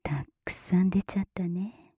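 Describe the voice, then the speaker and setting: A woman performing erotic ASMR roleplay in a breathy whisper, with a teasing, gently commanding big-sister manner, close on the mic. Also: lowish voice, steady tone, a little slow, dry recording. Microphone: close mic, dry.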